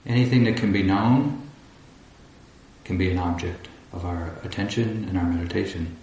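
A man guiding a meditation as a teacher, speaking calmly and deliberately in short phrases with long pauses. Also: deep voice. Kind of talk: guided meditation instruction. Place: large echoey indoor room at a retreat. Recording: roomy echo, faint background noise.

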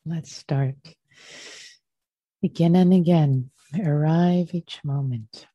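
A woman teaching a guided meditation in a gentle, unhurried manner, leaving long pauses between short phrases. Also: low voice. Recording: muffled, roomy sound.

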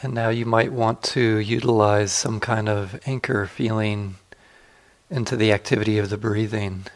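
Male meditation teacher, low pitch, strong vocal fry, gentle, slow instructions, long pauses. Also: steady tone. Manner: gentle, unhurried, inviting. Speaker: meditation teacher leading a guided meditation.

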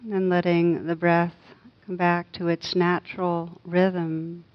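Slow, even-voiced woman guiding meditation. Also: fairly low pitch, highs cut off. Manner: gentle, unhurried, long pauses between soft phrases. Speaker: meditation teacher. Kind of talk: guided meditation.

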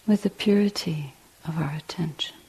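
A woman meditation teacher leading a guided meditation in a breathy, hushed voice, with deliberate short phrases and long pauses. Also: low voice, rough recording. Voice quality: husky, breathy, often near whisper.

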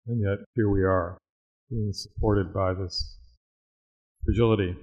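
A man teaching a guided meditation, speaking in a measured, reflective way with long pauses. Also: low-pitched, steady tone, darkish tone, lo-fi sound.

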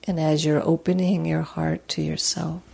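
A woman leading a guided meditation as a teacher, speaking in a soothing, gentle, unhurried way with long pauses. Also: sits low, little pitch movement, gravelly.

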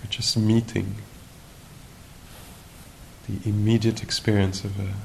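Low, gravelly man guiding meditation. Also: little pitch movement. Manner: unhurried, musing, gentle questioning with long pauses. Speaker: meditation teacher. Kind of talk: guided meditation at a retreat. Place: live at a meditation retreat. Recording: noisy live recording with low rumble.

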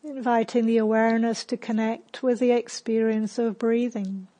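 A woman leading a guided meditation as a teacher, calm and unhurried, giving gentle instructions with long pauses. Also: fairly high pitch.

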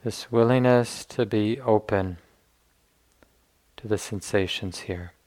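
A man leading a guided meditation as a teacher, speaking gently in unhurried phrases with long pauses. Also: on the low side.

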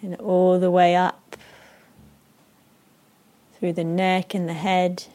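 A woman with an Israeli accent leading a guided meditation, speaking slowly and softly with long pauses. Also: on the low side, completely flat delivery. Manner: slow, soft and unhurried, with long pauses. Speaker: meditation teacher.

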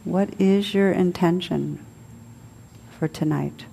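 A woman leading a guided meditation as a teacher, soothing and unhurried, speaking in short phrases with long pauses. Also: fairly low pitch, creaky, dark tone, slight background noise. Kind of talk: guided meditation, spoken to a group.

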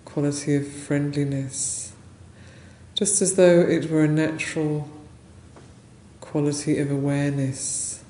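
A woman leading a guided meditation as a teacher, speaking gently and unhurriedly in short phrases with long pauses. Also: sits low, fairly even intonation. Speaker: meditation teacher, Buddhist nun. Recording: roomy echo, faint background noise.

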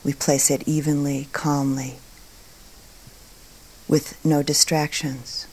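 A woman teaching meditation, speaking calmly and deliberately in short phrases with long pauses between them. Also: low voice, slightly rough. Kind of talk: guided meditation talk.

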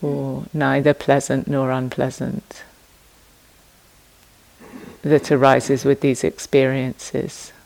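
A woman teaches meditation in a gentle, even voice that barely rises or falls. She speaks slowly and leaves long pauses between phrases. Her tone carries a noticeable gravelly fry. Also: very deep voice, poor audio. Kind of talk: guided meditation instruction.

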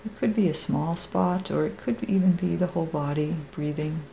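A woman meditation teacher leading a guided meditation in a gentle, soft, creaky, unhurried voice with long pauses. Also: low voice, highs cut off, a little hiss or hum.

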